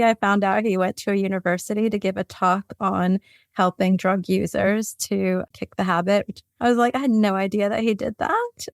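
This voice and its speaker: A woman's voice: medium pitch, no echo, clean studio sound. A woman from Puerto Rico, a career coach, telling her story as an interview guest in a reflective, easygoing way. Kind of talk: conversational interview answers.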